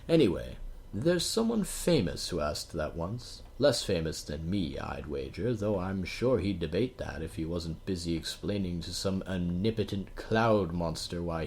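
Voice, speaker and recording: Low-voiced man reading a storybook, wry. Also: a little mellow. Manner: wry tour-guide asides to the listener. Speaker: audiobook reader. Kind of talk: audiobook narration.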